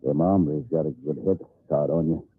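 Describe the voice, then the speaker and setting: A man's voice: normal speed, no room sound. An actor playing a tough, stubborn, wounded western lawman in an old-time radio drama. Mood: gruff, resolute. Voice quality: deep, gravelly drawl. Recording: muffled, narrow-band old radio recording.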